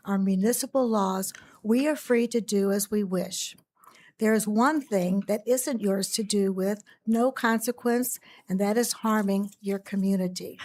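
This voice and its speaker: A woman with a raspy voice reading a prepared letter aloud as a public commenter at a county commission meeting. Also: mid-range voice, a little mellow.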